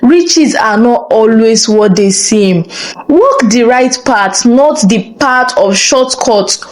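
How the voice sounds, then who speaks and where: A woman voicing a gentle, devout village mother who advises her daughter in a solemn, measured way in a folktale. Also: fairly high pitch, lively intonation, rough recording. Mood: solemn warning.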